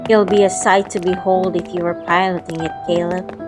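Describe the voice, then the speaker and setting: Brief: female actor as spirited young woman, affectionate and teasing, audio drama dialogue over clear background music. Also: on the low side, level tone, brisk, close to an everyday voice. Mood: earnest, spirited. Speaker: first-person narrator. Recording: music bed behind voice.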